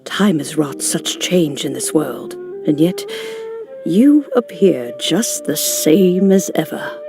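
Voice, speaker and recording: A slow, kindly old lady. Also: on the low side, wildly swooping pitch, gravelly, music in the background, full character voice. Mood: gentle. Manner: slow, soothing, courteous. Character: elderly gentle grandmotherly woman, calm and kindly. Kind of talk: video game voice acting.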